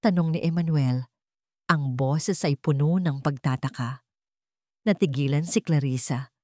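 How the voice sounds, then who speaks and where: A woman's voice: low voice, unhurried, dark tone, no room sound, clean studio sound. A woman with a Filipino accent reading a scripted dramatic story as its narrator.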